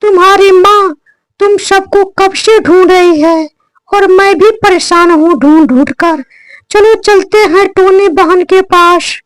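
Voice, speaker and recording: A man voicing a helpful, caring cartoon parrot with a squeaky voice and an earnest, polite, brotherly manner. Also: steady tone, takes it slowly, fairly bright tone, no room sound, heavily acted. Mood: relieved. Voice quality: squeaky, artificially high.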